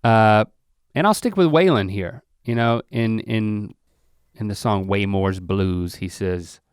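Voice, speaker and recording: An easygoing man in a casual chat, talking in a relaxed, philosophical way. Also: lowish voice, slow, smooth, no room sound, clean studio sound.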